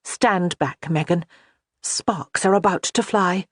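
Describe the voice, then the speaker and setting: A woman's voice: lowish voice, slow, dry recording, studio quality. A reader voicing a proud, blunt young noblewoman who speaks firmly and plainly. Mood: determined. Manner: firm and forthright.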